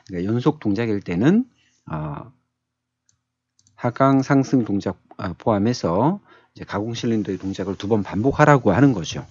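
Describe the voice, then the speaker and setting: Male tutorial instructor, conversational and thinking aloud; husky tone, dull close lo-fi recording. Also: medium pitch, darkish tone, no echo. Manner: conversational, thinking aloud, often checking with short tag questions. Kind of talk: screen tutorial, explaining step by step. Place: indoors, screen-capture voice-over.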